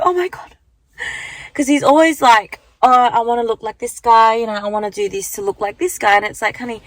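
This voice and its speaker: Young woman, croaky morning voice, rambling casual vlog chat into a handheld phone, dry close sound. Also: medium pitch, no room sound. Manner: chatty, rambling, lots of fillers. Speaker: vlogger. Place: car at the beach, then walking outdoors.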